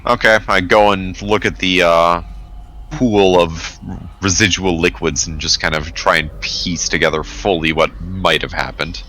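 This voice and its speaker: Deep, bright-edged male gamer. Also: steady tone, a little slow, slight background noise. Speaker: player in a tabletop role-playing game. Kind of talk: casual game-table talk.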